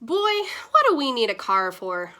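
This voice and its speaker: A woman's voice: on the high side, very animated, a little slow, sharp tone, full character voice. A woman voicing a wise, upbeat grandmother who answers a boy's questions with folksy reassurance. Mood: cheerful.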